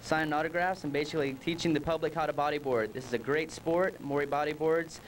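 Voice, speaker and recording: Upbeat promo pitch man, fast, slightly high voice, distant mic. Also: steady tone, slight background noise. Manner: salesy, upbeat. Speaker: promotions manager guest.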